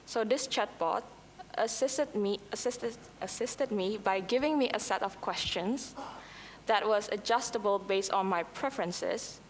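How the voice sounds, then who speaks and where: A woman whose first language is Indonesian, presenting a scripted software demo at a conference, with a few slips and restarts. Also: medium pitch, a little slow, a little hiss or hum. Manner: reads prepared lines, occasional slips and restarts. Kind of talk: scripted product demo talk. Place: conference hall. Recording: long hall reverb, faint ambience.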